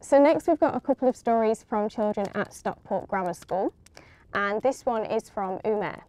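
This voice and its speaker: A woman in her twenties reads stories aloud to the camera. Her voice is mellow and soft-edged, at a middling pitch, with nothing sharp in it. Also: animated, normal speed, dry recording. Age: 20s. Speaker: story reader presenting children's stories.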